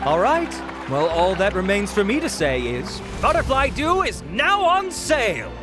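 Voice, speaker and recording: A man voicing a vain, charming young male researcher in a video game, giving a smooth, theatrical sales pitch. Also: huge pitch swings, a little slow, exaggerated voice. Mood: polite. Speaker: product presenter. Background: game music.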